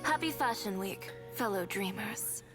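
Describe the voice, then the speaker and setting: An actress playing a proud, self-assured young fashion designer, poised and declarative, in polished game voice acting. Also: female voice, medium pitch, expressive, a little slow, fairly bright tone. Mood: composed. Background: game music.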